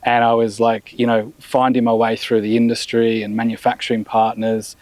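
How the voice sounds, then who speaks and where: A middle-aged man, a brand founder, chatting in a relaxed interview with many restarts and fillers. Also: mid-range voice, normal speed, slight background noise, low-quality recording. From Australia. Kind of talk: casual interview.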